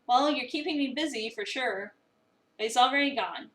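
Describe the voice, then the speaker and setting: A woman narrating a visual novel as an expressive first-person dramatic reading. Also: high voice, normal speed. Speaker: narrator, streamer. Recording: roomy, echoey, distant mic.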